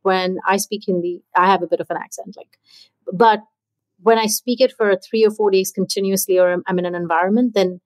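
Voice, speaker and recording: A woman hosting a casual podcast interview, thinking out loud as she goes. Her voice sits at an ordinary middle pitch. The recording is dry and close, with no room sound. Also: fairly even intonation, a little fast, no room sound, rough recording. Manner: chatty, thinks aloud with fillers. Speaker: podcast host. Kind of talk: conversational interview. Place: in India.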